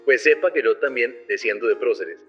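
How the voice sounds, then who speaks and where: A man doing a proud, offended gentleman who snaps back in a raised voice, his tone middling in pitch. Faint music plays underneath as a podcast host reads out the anecdote. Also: lively intonation, brisk, muffled recording, lightly acted. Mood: indignant.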